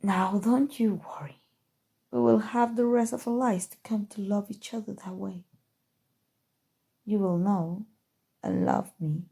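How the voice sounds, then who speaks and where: A woman playing a possessive evil sorceress in an ASMR roleplay, speaking softly and tenderly with a breathy voice that often drops to whispers. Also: mid-range voice. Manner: slow, soothing, deliberate, sinister affection. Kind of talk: ASMR roleplay, intimate scripted monologue.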